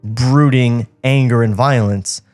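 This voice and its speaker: A man's voice: mid-range voice, steady tone, takes it slowly, a little mellow. Male podcast co-host reading over music. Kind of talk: script narration with casual joking asides. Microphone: podcast studio microphone.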